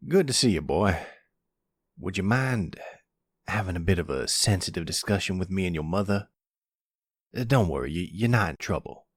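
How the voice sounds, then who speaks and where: A man playing an older mobster grandfather, steady, protective and probing, with folksy tough-guy phrasing in an audio drama. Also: low voice, normal speed, long pauses, husky, no room sound. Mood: calm, probing.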